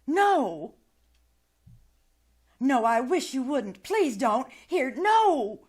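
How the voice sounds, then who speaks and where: A woman's voice: high voice, big pitch swings, extremely slow, breathy edge, full character voice. A woman playing a kindly but anxious caretaker, soothing and putting on cheer, in old-time radio drama style. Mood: agitated. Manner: gentle, soothing, forced cheer over distress. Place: live on a small-town theater stage. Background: music.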